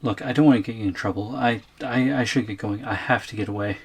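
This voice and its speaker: A man plays a worn-down, fearful boyfriend held captive. His lowish voice is husky and breathy, with hesitant muttering and pleading in an audio drama. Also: fast talker. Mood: anxious. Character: frightened, weary captive boyfriend on the run. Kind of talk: audio roleplay acting.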